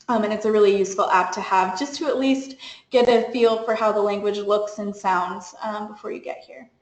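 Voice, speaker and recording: Woman, young adult, program staff, informal webinar, chatty with fillers, roomy echo. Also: medium pitch. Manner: chatty, frequent fillers and small laughs. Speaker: study abroad program staff.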